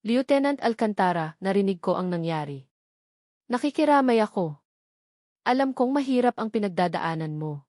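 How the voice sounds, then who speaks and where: A woman's voice: mid-range voice, lively intonation, moderate pace, darkish tone, no room sound, clean studio sound, close to an everyday voice. A woman voicing an insinuating male attorney in an audio drama, sympathetic and confiding.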